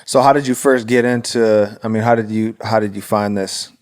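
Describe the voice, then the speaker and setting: Male podcast host, smooth low voice, informal and halting phrasing, conversational interview. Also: fairly even intonation, a little mellow. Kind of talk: casual interview chat.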